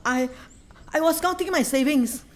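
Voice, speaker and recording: A woman's voice: high-pitched, moderate pace, lightly acted. A woman playing a flustered, nervous middle-aged mother in a radio play, with a Singaporean accent. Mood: flustered, worried. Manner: nervous, hesitant. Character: anxious, flustered mother, illiterate housewife running a tontine.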